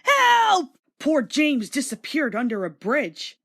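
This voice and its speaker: A woman reads a children's story as narrator. Her voice is high and very lively, rising and falling expressively through the scripted lines. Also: moderate pace.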